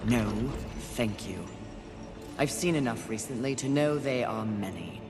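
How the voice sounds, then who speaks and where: A woman's voice: a bass voice, a little slow. Curt fierce warrior woman for a game, haughty n impatient, big reverb, music under it. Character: fierce, proud, impatient female horseman warrior. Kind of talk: dramatic video game voice acting.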